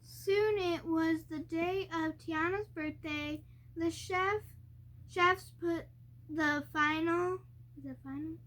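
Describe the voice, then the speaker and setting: A young child reading a storybook aloud, haltingly and word by word, stumbling over harder words. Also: very high-pitched, fairly even intonation, fairly bright tone, a little hiss or hum.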